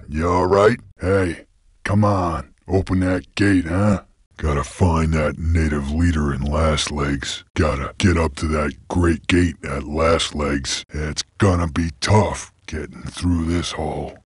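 Gruff game bounty hunter creature: rock-bottom pitch, husky gravel, Western American cowboy drawl, terse and folksy, close dry sound. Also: male voice, dry recording, full character voice. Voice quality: gravelly, raspy. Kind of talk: video game voice acting.

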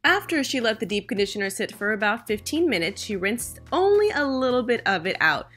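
A woman's voice: a little high, big pitch swings, a little fast, a bit bright, soft background music. A woman vlogger narrating a salon visit in a casual, explanatory voice-over.

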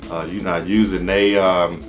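A man's voice: low-pitched, level tone, a little slow, soft background music. An American man in his thirties giving casual, rambling advice to camera in an informal, repetitive way. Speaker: HVAC business coach and channel host. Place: small indoor office.

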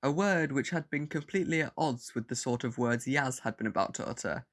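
A man's voice: medium pitch, a little fast, fairly bright tone. A man narrating a scripted audio story as its narrator.

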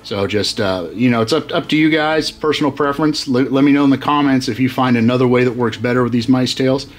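Man, 50s, fishing how-to host, casual, halting with fillers, mid-range voice, faint background music. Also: medium pitch. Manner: relaxed, many fillers and restarts. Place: workshop with tackle-covered walls.

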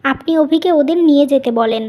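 Cartoon melodrama: gentle young daughter-in-law played by a woman, very high voice, earnest, pleading, wounded. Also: fairly quick, close to an everyday voice. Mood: earnest, soothing. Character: gentle, grieving young elder daughter-in-law, self-sacrificing village mother. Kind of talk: Bengali animated family melodrama.

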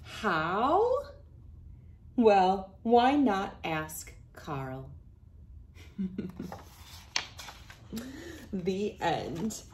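A woman in her thirties reading a children's storybook aloud with expressive, deliberate delivery. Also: mid-range voice, a little hiss or hum. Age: 30s. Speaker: children's book reader. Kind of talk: read-aloud storybook narration. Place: small wood-panelled room indoors.

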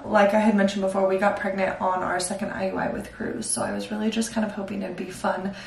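A young woman chats candidly to a camera set back across a room, and her voice carries a roomy echo. She talks at an everyday middle pitch, rambling with fillers. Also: recorded from a distance. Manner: chatty, candid, filler-heavy. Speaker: vlogger. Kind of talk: casual chat to camera. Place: home bathroom, indoors. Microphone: far camera.

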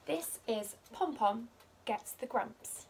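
A young woman reading a children's picture book aloud like a teacher, expressive and measured. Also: a little high, slightly rough. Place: living room by a fireplace.

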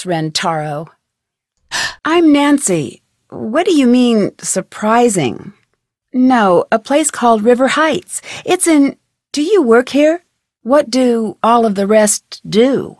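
A woman's voice: fairly high pitch, dry recording, clean studio sound. An actress playing an inquisitive, plucky young female detective in a video game, earnest and questioning. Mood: curious. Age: young adult.

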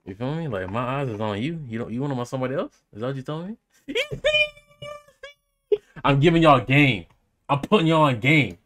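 A man's voice: medium pitch, lively intonation, brisk, long pauses, fairly bright tone. A young man giving casual, slangy reaction commentary as a streamer, often repeating himself for emphasis, on a close microphone indoors. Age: young adult. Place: small indoor room.

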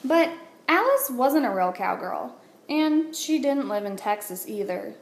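A woman, a teacher, reading a children's picture book aloud with expressive, deliberate phrasing. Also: fairly high pitch. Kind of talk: read-aloud storytelling for children. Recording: roomy echo, light background noise.

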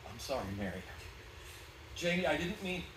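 A man's voice: a little high, sing-song, moderate pace. An actor playing a harried, short-tempered, despairing husband and father in a live 1940s-style radio play, biting and emphatic. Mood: remorseful. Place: small theatre stage with audience. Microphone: distant camera in the audience. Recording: roomy stage recording from far off, very noisy.

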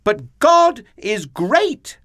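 A man voicing a small, brave, earnest young shepherd boy in a children's storybook, speaking up in a high voice. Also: sing-song, slow, fairly bright tone, exaggerated voice. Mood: bold conviction.

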